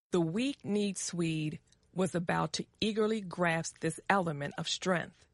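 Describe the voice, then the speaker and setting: A woman narrating a short story for English learners with deliberate, careful phrasing. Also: lowish voice, no echo.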